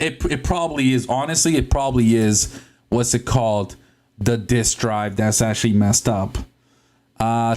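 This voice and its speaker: Slow, laughing man chatting on a live stream. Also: medium pitch. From Puerto Rico. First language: Spanish. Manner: laughs often, stumbles over words, chatty and relaxed. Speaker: livestream host. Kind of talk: casual live-stream chat while repairing a console.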